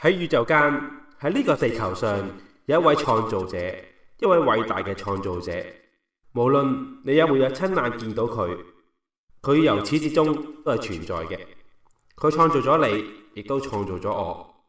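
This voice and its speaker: In a roomy, echoing space, a little away from the mic, a man acts out an earnest Cantonese evangelist. He addresses a congregation with measured, declamatory phrasing, as in a radio drama sermon. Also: mid-range voice, expressive, a bit bright, some chatter behind, close to an everyday voice. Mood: fervent, grateful. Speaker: preacher giving testimony. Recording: echoey hall, distant from mic.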